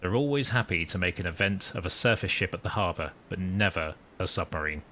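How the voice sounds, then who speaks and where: A man's voice: sits low, expressive, brisk, sharp tone, slight background noise, pitched below the performer's own voice. A man voicing a wry, newly promoted wartime submarine commander, narrating his story in formal, dry understatement. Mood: proud, reflective. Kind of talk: scripted first-person story narration.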